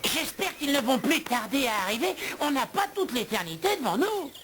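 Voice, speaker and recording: A woman's voice: high-pitched, very animated, normal speed. A woman voicing a fussy, officious fairy attendant at a royal court in a cartoon, fretful and urging. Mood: impatient. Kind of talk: French cartoon dub acting. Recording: music loud behind the voice.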